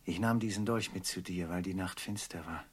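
An actor playing a brooding, feverish traveler in a classic German radio drama, questioning in a grave, insistent and deliberate manner. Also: male voice, low voice, husky. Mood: urgent, insistent. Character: brooding, feverish male traveler searching for a hidden truth.

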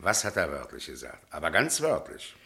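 Deep-voiced, by-the-book police inspector. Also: male voice, huge pitch swings, moderate pace, creaky, bright, forceful tone, exaggerated voice. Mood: skeptical, curt. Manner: official, curt questioning, stubbornly by the book. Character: gruff, unhurried, dismissive police inspector, middle-aged man. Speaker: actor in a radio crime drama. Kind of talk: German radio play acting.

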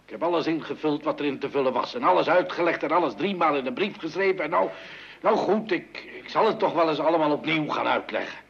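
A dull old radio recording carries a middle-aged family father. His creaky voice grumbles and hesitates, quick to sound flustered and indignant in a comedy style. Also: a little high, fairly bright tone, heavily acted. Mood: flustered, weary. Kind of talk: mid-century Dutch radio comedy acting.

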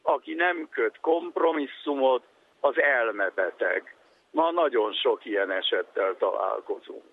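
A man's voice: medium pitch, lively intonation, gravelly. A man giving an explanatory talk on education in a didactic way, listing points with emphasis. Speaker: education and psychology speaker. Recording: tinny phone-like radio sound, faint noise.

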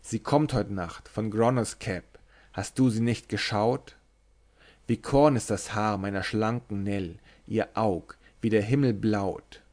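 A man reading the lines of a cheerful young blond man awaiting his bride, in slow verse, in a German audiobook. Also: on the low side, a little mellow.

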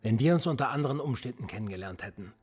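A man's voice: medium pitch, lively intonation, very fast, breathy edge, faint music behind. An actor playing a police interrogator in a radio play, pressing and sarcastic as he questions a suspect. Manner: pressing, sarcastic, quietly needling. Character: probing male cop, middle-aged, cold and persistent.

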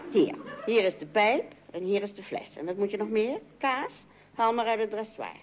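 A brisk, practical mother of middle age, voiced by an actress for a radio comedy. She is breezy and bossy, with pitch that moves around a lot. The old recording is muffled. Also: animated, noisy background, close to an everyday voice. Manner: no-nonsense, breezy.

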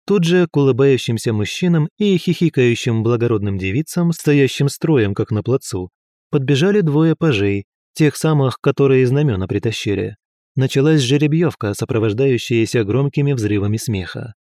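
A man reading historical fiction aloud in an even, measured narration. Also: medium pitch, no room sound, studio quality. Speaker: audiobook narrator.

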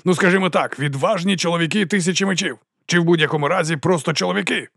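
A man's voice: high-pitched, quick pace, gravelly, fairly bright tone, no room sound, studio quality, pitched above the performer's own voice, full character voice. A man voicing a flamboyant, roguish mercenary captain in an audiobook, theatrical and self-mocking as he rallies his troops in a grandiose oration. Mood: wry, rousing. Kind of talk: audiobook character acting.

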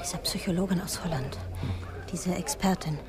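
An actress playing a devoted, anxious and defiant psychologist in a radio drama, urgent and often breathy. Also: female voice, fairly low pitch, moderate pace, lightly acted. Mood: secretive, anxious.

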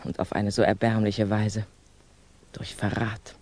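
An actress playing a composed, candid older female revolutionary in a 1960s German radio drama, measured, admonishing and probing. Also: extremely low pitch, little pitch movement, a little mellow. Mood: earnest, certain.

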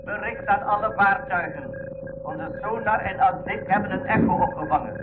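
An actor playing a stern naval radio announcer, giving formal alerts as a radio transmission in a drama. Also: male voice, sits high, moderate pace, noisy background. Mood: stern, official. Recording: dull radio sound over loud music.

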